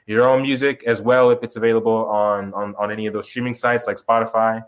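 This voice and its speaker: A young man guesting on a call-in interview, talking casually, rambling and halting, with many restarts and fillers and some swearing. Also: lowish voice, level tone. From Chicago, grew up in Tucson. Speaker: musician guest. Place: remote video call.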